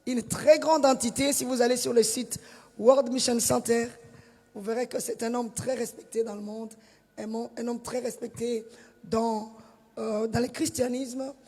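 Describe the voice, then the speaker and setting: A man's voice: very high-pitched, level tone, moderate pace, a little mellow. A man introducing a guest preacher at a church crusade, exhorting the congregation with emphasis and some hesitation. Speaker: pastor introducing the guest speaker. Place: large church hall. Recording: long church hall reverb.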